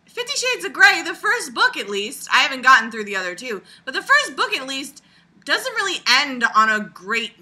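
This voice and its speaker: Very bright-voiced woman vlogging with sarcastic bite. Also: sits high. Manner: animated, sarcastic, crude humor with frequent swearing. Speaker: video blogger. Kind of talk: casual rambling commentary to camera.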